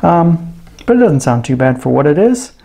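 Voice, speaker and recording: A man's voice: a little high, big pitch swings, normal speed, vocal fry, mellow. A middle-aged man giving a casual, easygoing hands-on gadget review at a desk indoors.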